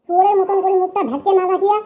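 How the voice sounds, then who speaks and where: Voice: very high-pitched, steady tone, very fast, dull audio. A performer voicing a lazy, grumbling young village son in a comic cartoon, with a rural northern Bengali accent and a whining, coarse way of talking. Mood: whining, irritated.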